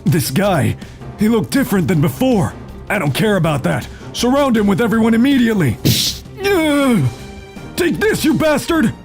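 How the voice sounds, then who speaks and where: An aggressive, bullying gang thug played by a man in anime dub style. He is bossy and menacing, his pitch leaping around, with faint music underneath. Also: a little mellow, exaggerated voice. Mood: angry, bossy, then frantic. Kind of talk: anime-style manga dub villain acting.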